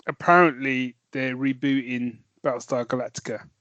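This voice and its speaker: Man, mid pitch, hesitant musing co-host, muffled lo-fi dry mic. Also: steady tone, moderate pace, fairly bright tone, dry recording. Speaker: podcast co-host. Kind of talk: casual chat.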